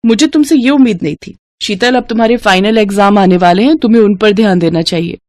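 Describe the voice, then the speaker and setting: A woman plays a stern female schoolteacher in an audio story. She is admonishing yet concerned, at a middling pitch. The recording is dry. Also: fast talker, darkish tone, no room sound, close to an everyday voice. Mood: disappointed, stern. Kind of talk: dramatized Hindi moral story acting.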